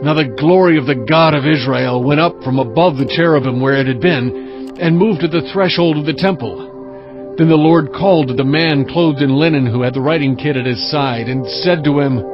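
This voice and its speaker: A man narrating a dramatized scripture reading in a measured, steady storytelling way. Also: a little high. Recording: dull lo-fi audio with music bed. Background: music.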